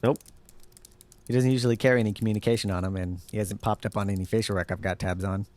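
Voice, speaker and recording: Audio drama role, male actor: casual male hacker, rapid matter-of-fact delivery, dry close recording. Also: lowish voice, steady tone, a little mellow, dry recording, natural voice.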